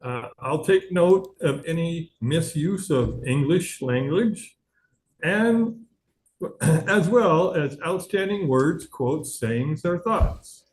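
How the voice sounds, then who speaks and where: A man talks slowly and haltingly, his voice sitting somewhat high, stumbling through fillers and restarts. He speaks through a video call at an online meeting. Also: lively intonation, a little hiss or hum, low-quality recording. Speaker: meeting grammarian. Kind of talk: hesitant club meeting remarks.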